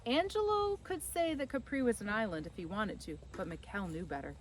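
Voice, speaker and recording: A woman's voice: medium pitch, animated, brisk, slight background noise. A woman reading a children's story aloud as scripted narration. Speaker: audiobook reader.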